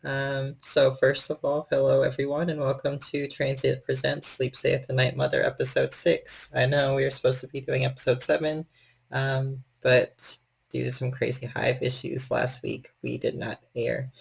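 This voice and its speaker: A man's voice: medium pitch, little pitch movement, moderate pace, creaky, husky. A man chatting casually as the host and storyteller of a livestream. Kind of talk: casual livestream hosting chat.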